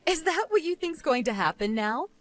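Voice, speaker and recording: A woman's voice: sits high, big pitch swings, normal speed, no echo. A woman playing a smug, vengeful wife and former bullying victim in scripted story dialogue, taunting and sarcastic. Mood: cool taunting.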